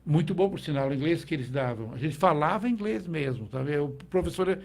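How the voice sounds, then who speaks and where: A middle-aged Brazilian man with a fairly high speaking voice, telling his story in a relaxed interview. He reflects as he goes, breaks off to restart phrases and keeps checking in with his listener. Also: fairly quick, gravelly. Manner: reflective, with frequent restarts and tag questions. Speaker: guest, teacher. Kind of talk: conversational interview.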